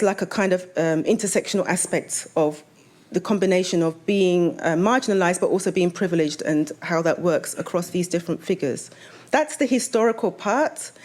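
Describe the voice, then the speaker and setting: A woman's voice: mid-range voice, a little mellow. A woman novelist talking about her own work as a panelist, in a conversational way that draws the audience in with questions.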